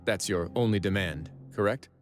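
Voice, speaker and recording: An actor playing a wry, self-assured duke who runs a prison fortress in a video game. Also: male voice, low voice, normal speed, soft background music, close to an everyday voice. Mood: wry, obliging. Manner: casual, dryly joking. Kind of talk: video game character acting.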